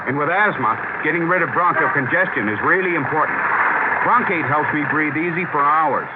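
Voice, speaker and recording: An actor playing a hard-working, plain-spoken road worker in a 1970s radio ad. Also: male voice, fairly high pitch, a little fast, lightly acted. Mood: plain-spoken, earnest. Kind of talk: 1970s radio testimonial ad acting. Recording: tinny radio recording, loud noise.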